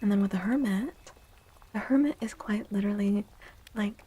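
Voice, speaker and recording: A woman speaking softly in a breathy, often whispered ASMR style, gently and soothingly, as she gives a tarot reading. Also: medium pitch, slight background noise. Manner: slow, gentle, soothing, deliberate with long pauses.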